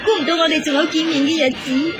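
Woman acting as poor dutiful wife, high pitch, deferential, radio drama, background music. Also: fairly even intonation, quick pace, natural voice. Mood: plaintive, grateful. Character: humble, dutiful poor wife working as a maid. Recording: music behind the dialogue.

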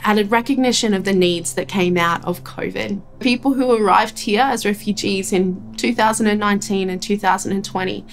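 A woman in her forties, a project officer, clearly and upbeat explaining a program. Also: mid-range voice, fairly even intonation, moderate pace, few pauses. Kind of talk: explanatory interview. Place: room with a brick wall. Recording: soft music underneath.

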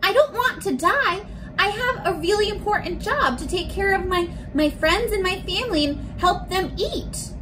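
Woman acting out a tiny storybook ant, very high pitch, swooping, anxious, pleading, emphatic. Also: expressive, normal speed, background noise. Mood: panicked pleading.

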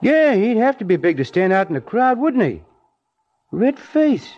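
An actor playing an excitable, slangy man on the street, eager to please, in old-time radio drama style. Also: high voice, normal speed. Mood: eager, garrulous. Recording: muffled, noisy old radio recording.

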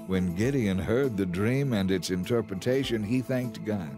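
低めの声の男性聖書朗読者. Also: male voice, fairly low pitch, moderate pace, warm tone, soft background music. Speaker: audiobook narrator. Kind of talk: dramatic scripted Bible narration.

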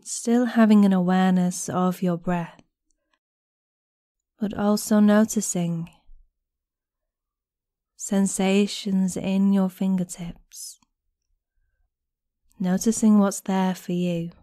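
A woman guiding a meditation in a gentle, soothing, unhurried manner with long pauses between phrases. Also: mid-range voice, steady tone, no echo, clean studio sound. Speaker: guided meditation instructor. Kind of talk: guided body scan meditation narration.

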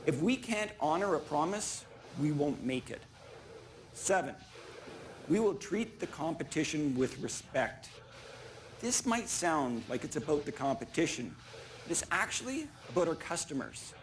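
High-voiced middle-aged man giving a keynote. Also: sing-song, a little hiss or hum. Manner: measured, deliberate, in short emphatic statements. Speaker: chief executive giving a keynote. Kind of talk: rehearsed keynote speech. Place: large conference stage before an audience. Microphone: small clip-on lapel mic.

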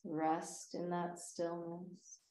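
Female meditation guide: very slow, soothing delivery with long pauses, slightly low pitch, roomy echoing sound. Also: level tone, mellow. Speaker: yoga nidra meditation teacher.

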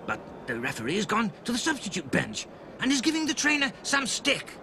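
A man voicing an excitable football commentator with a gravelly voice. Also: sits high, a little fast, full character voice. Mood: excited. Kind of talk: mock sports commentary in a story. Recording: noisy recording with ambience.

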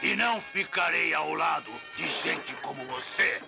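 A man voicing a huge, brooding horned demon in a dubbed cartoon, gravelly and ponderous. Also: a little high, expressive, over music, full character voice. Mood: solemn. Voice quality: gravelly, croaking. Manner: slow, ponderous. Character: huge horned winged demon, brooding, slow, ultimately noble. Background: dramatic cartoon score.

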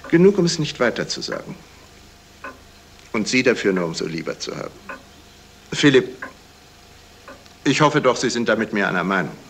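An actor playing a polite, shrewd detective in a radio crime play, talking in a measured way with small hesitations. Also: male voice, lowish voice, wildly swooping pitch, gravelly, fairly bright tone, heavily acted. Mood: knowing. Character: courteous, shrewd male detective explaining a murder. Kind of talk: 1970s German radio crime play acting. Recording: noisy vintage radio play recording.

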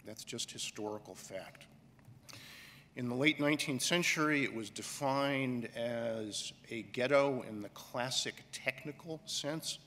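A middle-aged man giving a keynote speech from a podium on an auditorium stage, speaking deliberately and emphatically with pauses. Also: mid-range voice, a bit bright. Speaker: conference director giving a keynote. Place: large auditorium stage, indoors. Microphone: podium microphone. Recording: reverberant hall with faint room noise.

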